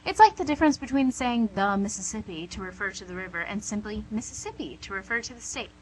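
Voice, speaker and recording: A woman's voice: medium pitch, expressive, quick pace, natural voice, low-quality recording. A woman playing a suave female devil boss in an amateur radio comedy, pedantic, condescending and mock-polite. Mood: patronizing. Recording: amateur radio recording with hum, noise.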